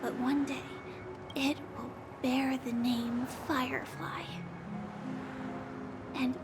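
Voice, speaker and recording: An actress voicing a gentle, resolute young female warrior in a video game, soft, breathy and solemn. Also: sits high, fairly even intonation, takes it slowly. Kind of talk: dramatic game voice acting. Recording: loud music over the voice. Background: loud game music.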